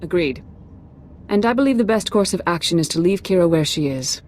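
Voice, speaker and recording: A woman's voice: fairly low pitch, expressive, brisk, a little mellow, slight background noise, lightly acted. A woman acting a composed, fair-minded Jedi grandmaster in a video game, speaking formally and with authority.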